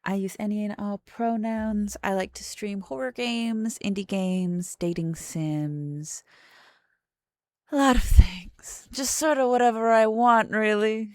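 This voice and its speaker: A woman's voice: mid-range voice, slow pace, darkish tone, no echo, studio quality. A woman streamer chatting casually and playfully while playing a game. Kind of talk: casual livestream chat.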